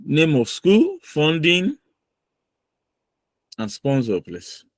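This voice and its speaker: A man's voice: a little high, wildly swooping pitch, very slow, no echo. Firm man coaching a mock visa interview. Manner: firm, lecturing, repeats points for emphasis.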